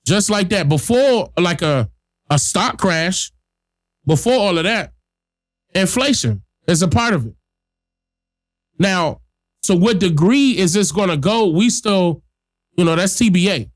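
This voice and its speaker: A man's voice: high voice, animated, a little slow, pauses often, no echo, studio quality. A man hosting a podcast, giving blunt, emphatic casual commentary straight to his listeners while getting over a cold that leaves him stuffy-nosed. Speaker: podcast host.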